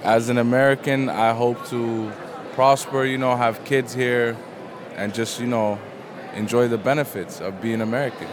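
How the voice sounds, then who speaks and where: A man from Somalia speaks in a relaxed way, dropping in fillers as he goes. Clear city noise sits behind him outdoors. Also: mid-range voice, moderate pace. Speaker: new citizen.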